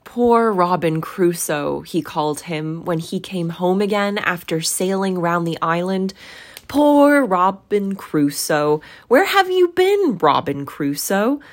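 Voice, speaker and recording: An old miser from a storybook, played by a woman, exclaims in childlike ecstasy. The voice leaps high and loud, excitable and close to tears. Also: slow pace. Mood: excited, tearful. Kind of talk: storybook character voice.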